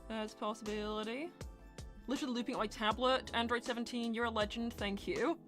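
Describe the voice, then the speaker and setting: A woman's voice: fairly high pitch, steady tone, fairly quick, a bit bright, faint music behind. A woman hosting a casual livestream Q&A, reading out and answering viewer messages in an informal, chatty way. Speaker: host of a fan series livestream Q&A.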